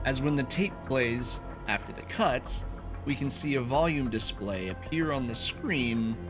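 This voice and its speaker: Guy doing scripted video essay narration, explaining theories, mid-pitched voice, music clearly playing behind him. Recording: voice over background music. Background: background music.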